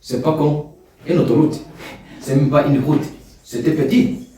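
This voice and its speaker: A man's voice: fairly high pitch, fairly even intonation, unhurried. Man preaching in echoey hall. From Rwanda. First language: Kinyarwanda. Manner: rises loud and emphatic on key points, drops soft in prayer. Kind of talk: sermon. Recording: echoey room, distant mic.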